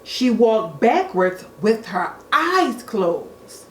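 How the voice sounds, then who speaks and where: Lady doing kids storytime, high lively voice, unhurried, echoey room w a bit of noise. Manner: expressive, deliberate, engages young listeners with questions. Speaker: children's storytime reader, teacher. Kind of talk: storybook read-aloud to children.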